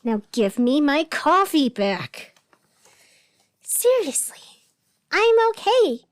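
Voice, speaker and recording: An actress playing an anxious radio host in a scripted fictional broadcast, deliberate and emphatic with strained cheerfulness. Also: female voice, high-pitched, big pitch swings, no echo, clean studio sound, full character voice. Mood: nervous denial. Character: anxious woman radio host insisting everything is fine.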